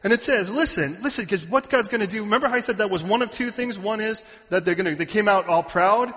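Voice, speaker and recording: A man preaching a sermon, animated and joking with the audience, sometimes shouting out a word. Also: high voice, fast talker. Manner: animated, shouting at peaks, jokey asides to the audience. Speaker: pastor. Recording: muffled hall recording.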